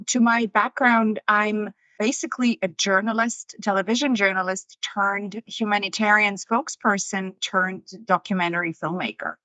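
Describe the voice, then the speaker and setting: A woman's voice: medium pitch, sharp tone, dry recording, rough recording. A woman in her thirties from Switzerland, a documentary filmmaker talking earnestly and repeating words as she thinks. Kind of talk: casual interview chat.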